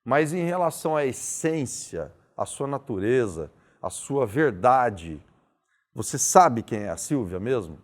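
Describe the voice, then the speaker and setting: A man hosting an interview, asking thoughtful, philosophical questions in a calm conversational way. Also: medium pitch, unhurried.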